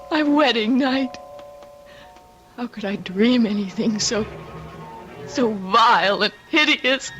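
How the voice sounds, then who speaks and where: Emotional young wife, higher-pitched voice. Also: female voice, a bit bright, exaggerated voice, poor audio. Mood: distraught, horrified. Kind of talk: radio drama acting. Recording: old radio broadcast with music.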